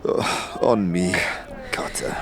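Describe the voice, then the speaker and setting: A man's voice: low voice, slow, vocal fry, faint music behind, exaggerated voice. A man playing a hefty, very drunk dwarf in an audio drama, woozy, dazed and stammering. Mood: drunk, befuddled.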